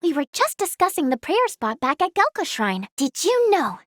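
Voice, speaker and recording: A woman playing an eager, kind-hearted young female healer in a fantasy video game, earnest, expressive and girlish in manner. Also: very high-pitched, moderate pace, dry recording, clean studio sound. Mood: bright, grateful. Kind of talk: video game character acting.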